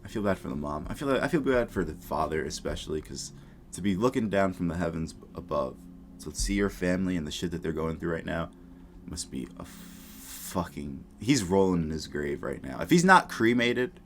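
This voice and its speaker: Deep-voiced man, blunt casual commentary. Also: expressive, moderate pace, a little hiss or hum. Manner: blunt, slangy, profane. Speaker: YouTube commentator. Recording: music behind the voice. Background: background music.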